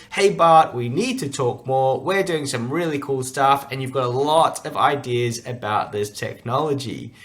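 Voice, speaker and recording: A man's voice: on the high side, fairly bright tone. A man hosting a podcast, talking in an upbeat, chatty way with many fillers during a remote interview. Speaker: podcast host. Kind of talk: casual interview chat.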